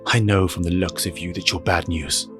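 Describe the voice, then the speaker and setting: A man's voice: low-pitched, steady tone, normal speed, fairly bright tone, faint music behind. A man playing a bitter, brooding, wealthy fallen superhero with a sneering, curt manner in an audio drama. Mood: wary.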